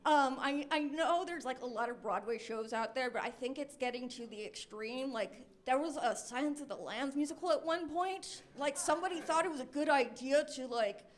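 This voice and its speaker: A high-pitched American woman in her twenties performs stand-up on a club stage. She tells stories in a chatty, self-deprecating way, as a comedian addressing a live crowd. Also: normal speed, few pauses. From Los Angeles, United States. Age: 20s. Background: audience laughter.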